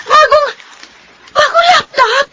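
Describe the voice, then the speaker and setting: Napakatinis na sensitibong batang anak na babae. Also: female voice, very high voice, takes it slowly. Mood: excited. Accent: Filipino. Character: tearful, sensitive younger daughter, a student. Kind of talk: Filipino radio drama acting.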